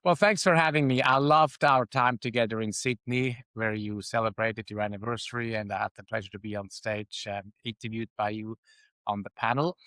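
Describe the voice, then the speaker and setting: A man's voice: lowish voice, fairly even intonation, moderate pace, pushed and bright, no echo. Swiss man in his fifties, dry sound. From Switzerland. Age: 50s. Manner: reflective, with frequent ums and uhs. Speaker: guest. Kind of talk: casual interview talk.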